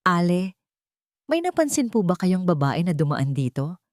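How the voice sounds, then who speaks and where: A big, shady man, acted by a woman storyteller, talks with casual, probing menace. The delivery swings in pitch and is recorded dry. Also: sing-song, moderate pace, darkish tone, dry recording. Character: large shifty man hunting someone, rough, casually probing.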